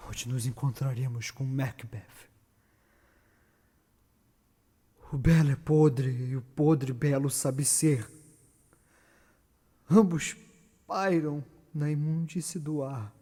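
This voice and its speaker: A man's voice: mid-range voice, breathy edge. A Brazilian man playing eerie, prophesying witches in a declamatory, incantatory theatrical Shakespeare monologue. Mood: hushed, ominous. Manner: declamatory, drawn-out, swinging from hush to loud chant. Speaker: stage actor. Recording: long reverberant hall echo.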